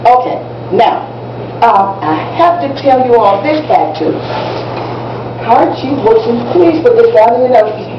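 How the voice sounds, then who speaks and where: Actress as proud, instructive American schoolteacher for a community stage play; emphatic repetition, muffled sound from far off. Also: female voice, on the high side, expressive, a little slow. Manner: didactic, repeats and spells out key words. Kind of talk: amateur stage play acting. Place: indoor room at a public library. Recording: distant, echoey, muffled, noisy room recording.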